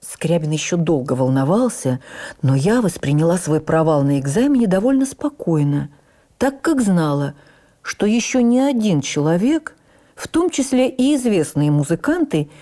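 Radio memoir narrator: woman, lowish and warm-toned, measured, expressive literary delivery. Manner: measured, expressive, deliberate phrasing. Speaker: actress reading a memoir.

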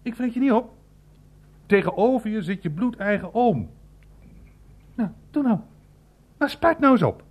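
A bluff, earthy old uncle in a radio play. His voice sits high for a man and swings widely in pitch. He blusters, mocks and stretches his words for theatrical effect. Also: very animated, husky, full character voice. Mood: impatient, goading. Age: older. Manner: mocking, blustering, drawn-out emphatic phrasing.

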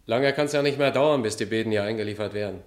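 A man's voice: lowish voice, fast talker, lightly acted. An actor playing an eager, ambitious policeman in a German radio play, talking casually. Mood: eager, curious.

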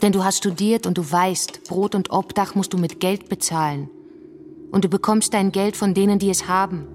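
An actress playing a militant, sharp and accusing revolutionary woman in a German radio drama, speaking in an insistent, declamatory way. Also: mid-range voice, steady tone, moderate pace, slight background noise, natural voice. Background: music.

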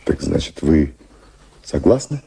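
An actor playing a dignified, ardent British colonial official in his fifties in a Russian radio drama, courtly and deliberate, with a husky voice. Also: rumbling low, big pitch swings, mellow, full character voice. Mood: earnest, pressing.

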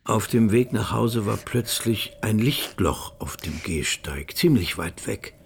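An actor narrating a crime radio play as a sober storyteller, in a low, creaky voice. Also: male voice, animated, slow pace, a little hiss or hum, heavily acted. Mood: grave. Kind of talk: scripted literary radio drama narration.

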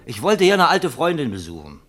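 A man's voice: on the high side, wildly swooping pitch, fairly quick, fairly bright tone. Swaggering, taunting man with a swooping voice. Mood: boastful. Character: cocky, tipsy male barroom provocateur, sneering and jovial. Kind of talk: German radio drama acting.

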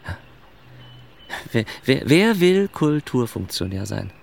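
An actor playing a disillusioned, awkward cultural official in a radio drama, rambling haltingly in a deep, creaky voice. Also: male voice, sing-song, heavily acted. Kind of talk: German radio drama acting.

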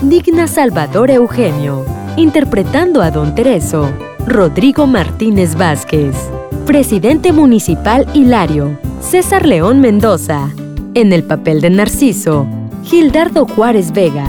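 Lively Mexican woman announcer over music. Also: medium pitch, measured pace. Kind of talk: scripted radio credits narration. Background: clear music.

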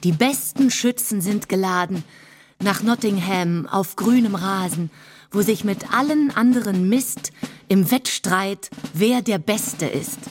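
A woman proclaims rhymed verse at a slow, deliberate pace. Her delivery is rhythmic and declamatory, like a herald in a radio play, with music and drums behind her. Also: mid-range voice, slight background noise.

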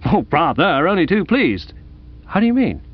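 A man playing an amiable, dim, flustered upper-class young gentleman in a comic audiobook, bluff and eager. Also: a little high, sing-song, moderate pace, husky, muffled recording, pitched above the performer's own voice. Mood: breezy. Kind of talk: dramatised comic audiobook reading.

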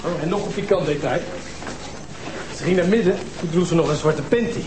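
Casting: male actor for gossipy cop in a TV drama. Halting, relishing each detail, echoey and noisy room. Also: a little high, lightly acted. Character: hesitant, gossipy male police officer. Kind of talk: naturalistic TV crime drama dialogue.

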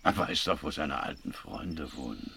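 An actor playing a sly old seaman blackmailer in a radio drama, gravelly, falsely polite and insinuating. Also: very deep voice, expressive, normal speed, bright, forceful tone, slight background noise, heavily acted. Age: older. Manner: drawling, insinuating.

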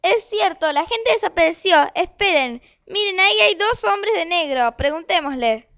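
A woman's voice: very high-pitched, animated, moderate pace, fairly bright tone, heavily acted. A woman playing a harried, bossy mother in an amateur radio play, with an exasperated, nagging manner. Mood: alarmed. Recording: dull lo-fi home recording.

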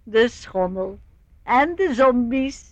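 A woman's voice: on the high side, animated, slow, no echo, lo-fi sound. An actress playing a cheerful, eccentric elderly aunt in a radio play, breezy and fondly condescending, with chuckles in her speech. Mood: breezy, nostalgic.

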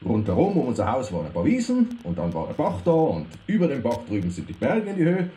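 A man's voice: mid-range voice, wildly swooping pitch, quick pace, mellow. A man playing a folksy mountain storyteller addressing radio listeners. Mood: reminiscing. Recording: roomy echo, faint background noise.

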